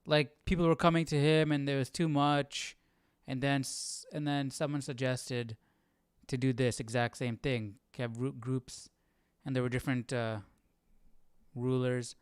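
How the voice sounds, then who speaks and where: A man's voice: medium pitch, flat delivery, measured pace, clear, resonant tone, no echo, studio quality. A man hosting a Bible study livestream, casually thinking aloud in a questioning, hesitant way.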